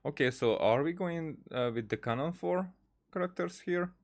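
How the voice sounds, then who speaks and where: A man streaming a game with casual commentary, thinking aloud in a halting way and repeating words. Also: a little high, lively intonation. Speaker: gaming streamer.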